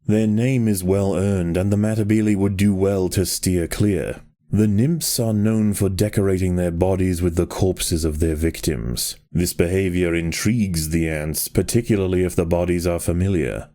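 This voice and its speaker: A man narrating a video game like a grave nature documentary, in a measured, solemn and precise manner. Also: sits low, fairly even intonation, warm tone, close to an everyday voice. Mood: solemn, ominous.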